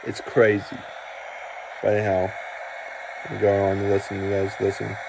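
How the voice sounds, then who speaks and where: A man's voice: lowish voice, completely flat delivery, moderate pace, gravelly, background noise. A man giving casual, blunt, mildly sweary vlog commentary, recorded on a handheld device in a room at home. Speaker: video creator showing a toy. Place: small cluttered room at home, indoors.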